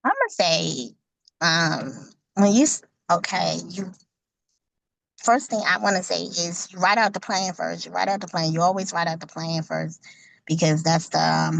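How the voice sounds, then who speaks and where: A woman's voice: fairly low pitch, vocal fry, poor audio. A woman speaking earnestly about her faith-based outreach on a video call, repeating points for emphasis. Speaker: ministry outreach worker, author. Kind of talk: networking pitch.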